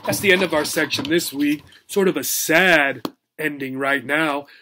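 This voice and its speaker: A man reading a children's book aloud as a teacher, in an expressive storybook narration style. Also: on the high side, unhurried, a little hiss or hum.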